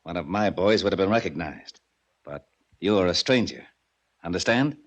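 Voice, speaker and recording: An actor playing a cold-blooded syndicate boss in a 1940s radio drama, mock-courteous and menacing. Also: male voice, deep voice, expressive, moderate pace. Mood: cold, smug. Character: smooth-talking, cold-blooded male gambling syndicate boss.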